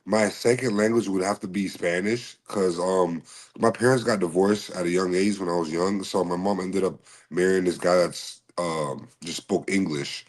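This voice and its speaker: A man from Massachusetts speaking in a very deep, low voice as a podcast guest. He answers politely and calls the host "sir". His replies come in short, halting bursts with plenty of fillers. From Massachusetts, USA. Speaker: podcast guest, slap fighter and bodyguard. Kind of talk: casual interview answers.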